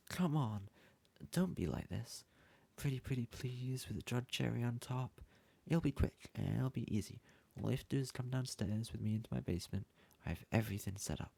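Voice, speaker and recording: A man's voice: medium pitch, gravelly, mellow, breathy edge. A man playing a bumbling, lovesick young yandere student in an ASMR roleplay, pleading hesitantly and stammering as he speaks to the listener.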